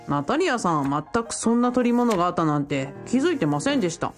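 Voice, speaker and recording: A woman's voice: medium pitch, big pitch swings, quick pace, faint music behind, close to an everyday voice. A woman playing a polite, eager young man who works in a bookshop, deferential and explanatory, in a radio drama. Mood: earnest, explanatory. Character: polite young man, bookshop part-timer, eager self-styled fiancé.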